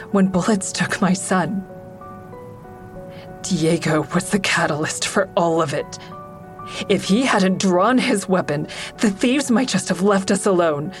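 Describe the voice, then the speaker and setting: An actress plays a bereaved, bitter woman telling her story in an audio drama. Her voice sits low, guarded and intense, with a coldness to it. Music plays clearly underneath. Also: close to an everyday voice. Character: embittered woman narrating her grief and rage, a bereaved mother. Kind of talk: first-person audio drama narration.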